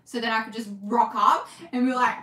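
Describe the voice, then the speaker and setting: A young Australian woman chatting casually on a livestream from her sewing room, reading comments, talking fast and laughing often. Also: on the high side, steady tone, bright, forceful tone, roomy sound. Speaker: livestream host, scrunchie maker. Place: home sewing room, indoors.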